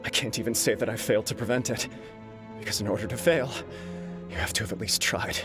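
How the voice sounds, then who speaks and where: A man's voice: lowish voice, fast talker, over music, close to an everyday voice. An actor playing an earnest young nobleman in a video game, solemn and formal, burdened with remorse. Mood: remorseful. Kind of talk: dramatic video game acting.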